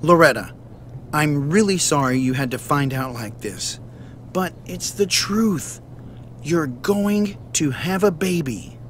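An actor playing a grave, hesitant doctor who gently breaks bad news in a visual novel game. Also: male voice, a little high, expressive, slow, a little hiss or hum. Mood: apologetic, firm.

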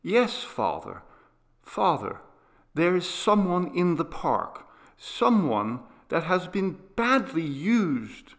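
A man plays a sick young boy in a storybook, pitched up from an adult register. He is eager, urgent and insistent, with slow, swooping delivery as he pleads to be believed. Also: big pitch swings, fairly bright tone, exaggerated voice. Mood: urgent, confiding. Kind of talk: dramatised audiobook character reading.

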